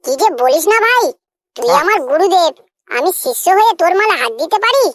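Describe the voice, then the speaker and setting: Wheedling, squeaky cartoon sidekick, voiced by a man. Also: brisk, very noisy. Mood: eager, fawning. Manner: wheedling, excitable, crude. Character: whiny, pleading, foul-mouthed young male sidekick friend. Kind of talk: comic cartoon dialogue. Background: loud jingly children's music.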